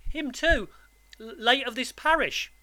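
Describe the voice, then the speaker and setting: A man's voice: high voice, animated, slow, sharp tone, exaggerated voice. A man voicing a small, timid, frightened boy in a storybook, stammering politely and pleading.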